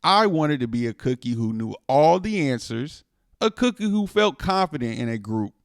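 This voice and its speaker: A man reading a children's picture book aloud in an expressive way, stretching words for dramatic emphasis. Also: medium pitch, normal speed, no room sound, studio quality. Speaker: storytime reader.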